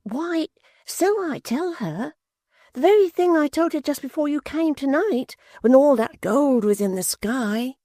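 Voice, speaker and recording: A woman's voice: high voice, animated, measured pace, warm tone, no room sound, clean studio sound, pitched above the performer's own voice. A woman voicing an eager, simple-minded young man who talks in wondering, pleading bursts.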